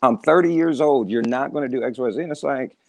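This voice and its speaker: A man's voice: mid-range voice, fast talker, gravelly, no echo. A man in his thirties, a guest in a casual discussion, speaking with an emphatic, preacherly cadence. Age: 30s.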